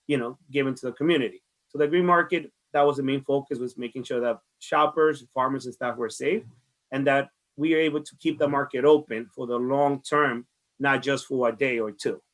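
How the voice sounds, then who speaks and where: A man's voice: medium pitch, a bit bright, rough recording. A man from the Dominican Republic with a Dominican Spanish accent, giving conversational interview answers in an earnest way, often repeating phrases. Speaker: farmers market director being interviewed.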